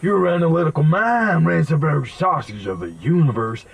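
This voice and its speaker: Raspy, swooping mad inventor. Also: male voice, on the high side, animated, moderate pace, soft background music, full character voice. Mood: grandiose, excited. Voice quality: raspy, creaky. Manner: theatrical, verbose, bursts of emphatic exclamation. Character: eccentric male mad inventor mystic, grandiose and excitable. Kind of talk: video game character acting.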